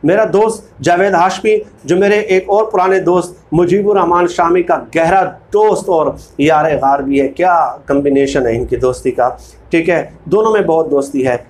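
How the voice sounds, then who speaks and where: A man's voice: on the high side, moderate pace, darkish tone. A man with a Pakistani accent hosting informal political commentary, speaking emphatically and repeating phrases for weight. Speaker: political commentary video host. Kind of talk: informal political commentary, reading book excerpts.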